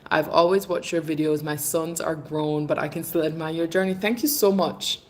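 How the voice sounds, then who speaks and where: A woman's voice: low voice, fairly even intonation, normal speed. A woman in her thirties from England with a mixed British and Jamaican accent, chatting casually on a livestream and fondly calling viewers babes and darling. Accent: British English mixed with Jamaican. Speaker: livestream host. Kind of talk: casual live chat, reading viewer comments.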